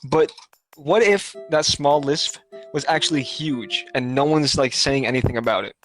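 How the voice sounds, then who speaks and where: A man's voice: fairly high pitch, moderate pace, fairly bright tone, soft background music. A man hosting a casual podcast over a voice call, rambling with many fillers and restarts. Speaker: podcast host.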